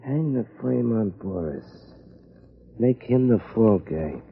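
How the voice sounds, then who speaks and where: An American actor playing a shady, scheming ex-boxer blackmailer in a 1950s radio crime drama, with a gruff, husky voice and slangy tough-guy talk. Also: fairly low pitch, lively intonation, slow, a little hiss or hum, full character voice. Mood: scheming, wheedling. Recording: muffled vintage radio recording.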